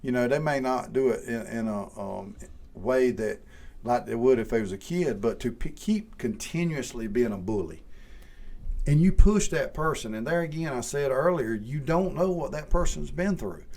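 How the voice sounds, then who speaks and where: A man's voice: mid-range voice, animated, normal speed, creaky, a little mellow. A man in his forties from Georgia with a Southern accent, talking casually on a podcast. He rambles and repeats words as he starts each thought. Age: 40s. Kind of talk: casual chat.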